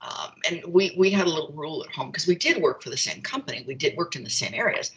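A middle-aged woman with a slightly low voice, the kind of guest who shares frank stories on an online group call from her kitchen. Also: big pitch swings, quick pace, a bit bright, low-quality recording. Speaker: guest speaker. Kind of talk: candid conversational storytelling. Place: open-plan kitchen and living room at home. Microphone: video call, computer microphone.

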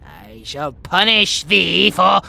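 A man's voice: sits high, gravelly, bright, forceful tone, dry recording, exaggerated voice. A British man voicing a haughty, bratty but secretly affectionate young tiger boy in a comedic audio roleplay, with pompous, theatrical outbursts. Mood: flustered, haughty.

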